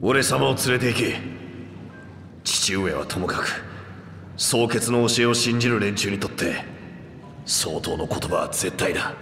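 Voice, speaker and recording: An actor playing a proud, anguished reptilian warrior with rough, boastful speech in a video game. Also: male voice, lowish voice, unhurried, long pauses, a bit bright, a little hiss or hum. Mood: subdued, resolute. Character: proud two-headed reptilian warrior man, anguished and remorseful. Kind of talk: dramatic video game voice acting. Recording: echoey long reverb under music.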